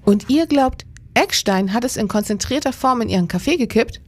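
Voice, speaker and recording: Woman playing a husky, inquisitive detective. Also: on the high side, animated, moderate pace. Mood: earnest, concerned. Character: concerned, inquisitive female police detective. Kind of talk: radio crime drama acting.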